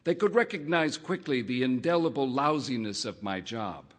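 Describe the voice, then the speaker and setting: A man reading a first-person literary short story aloud, in a measured, wry narrating manner. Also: medium pitch, animated. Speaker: audiobook reader.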